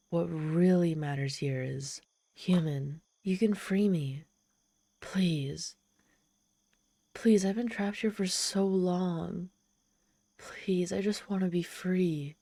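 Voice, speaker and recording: A woman's voice: lowish voice, takes it slowly, long pauses, warm tone, no room sound, studio quality. A woman playing a proud, lonely, desperate and socially awkward demon in a dramatic audio roleplay, haughty yet pleading. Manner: haughty yet pleading, theatrical sighs and gasps. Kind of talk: dramatic ASMR roleplay storytelling.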